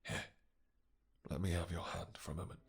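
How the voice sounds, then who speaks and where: A man acts out a possessive vampire king with a very low voice full of gravel. He is intense and lovestruck, speaking in courtly, old-fashioned phrases broken by halting pauses. It sounds like an intimate fantasy audio drama, with a husky edge to the delivery. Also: little pitch movement, exaggerated voice. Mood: restrained desire. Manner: formal archaic courtly diction, halting breathy pauses. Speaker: voice actor in romantic audio roleplay. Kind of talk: intimate romantic fantasy roleplay acting.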